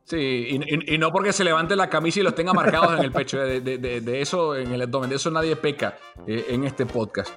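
Middle-aged male sports host from Venezuela; loose, joking delivery over soft music. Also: mid-range voice, a little fast, few pauses. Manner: jokey, chatty, many fillers. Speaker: podcast host, sports journalist. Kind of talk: casual chat.

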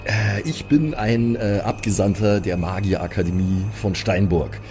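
A man's voice: on the low side, measured pace, a little mellow. A man playing a cautious, deferential envoy of a mages' academy in a tabletop audio game, hesitant and formally courteous. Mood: careful, formal. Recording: faint eerie music under the voice.

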